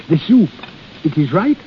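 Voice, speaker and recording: An actor playing a kindly, fussing older Swiss landlord in 1930s radio drama, with gentle, folksy, halting foreign-styled phrasing. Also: male voice, on the high side, very animated. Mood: kindly, coaxing. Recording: muffled, hissy 1930s radio recording.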